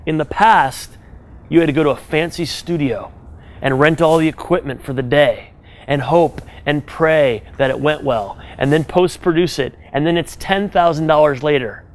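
A man giving direct business advice to a live audience, animated and conversational, checking in with "right?" as he goes. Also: on the high side, normal speed. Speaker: business speaker advising an audience. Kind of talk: live talk to a room audience.

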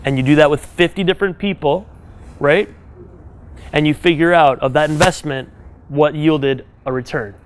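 Male speaker, live audience talk, energetic and direct, slightly higher register. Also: moderate pace, a little hiss or hum. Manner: animated, direct, second-person advice with frequent "right?". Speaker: business speaker advising an audience.

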